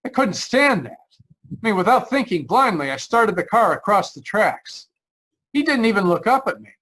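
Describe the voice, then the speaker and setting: A man's voice: high-pitched, sing-song, moderate pace, fairly bright tone, exaggerated voice, low-quality recording. Man acting a haunted, anxious radio-drama driver. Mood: desperate. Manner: tense, earnest, dramatic storytelling. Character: haunted, anxious male driver narrating his road trip. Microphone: video call. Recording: live video-call performance.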